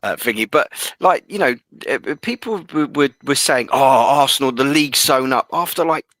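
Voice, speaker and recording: A man's voice: mid-range voice, animated, moderate pace, fairly bright tone, dry recording. A man co-hosting a football podcast, rambling with lots of fillers in casual chat. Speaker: podcast co-host.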